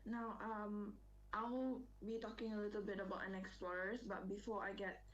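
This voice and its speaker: A woman from Brunei gives a conference presentation in a measured voice with frequent "um"s. Her audio comes through muffled, as over a remote link, with a faint hum behind it. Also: medium pitch, little pitch movement. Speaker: science center educator, presenter.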